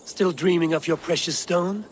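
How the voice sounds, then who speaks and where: An actor playing a battle-hardened, resolute Viking raider in a video game, speaking in terse, measured lines. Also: male voice, on the high side, a little hiss or hum, natural voice. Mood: guarded. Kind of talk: video game cutscene acting.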